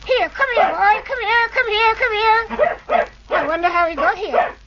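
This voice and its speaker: High-voiced excited young boy, old hissy muffled radio recording. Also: a little slow. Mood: delighted. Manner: excited, chattering. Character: eager young boy Johnny, brave brother. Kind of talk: 1940s radio acting.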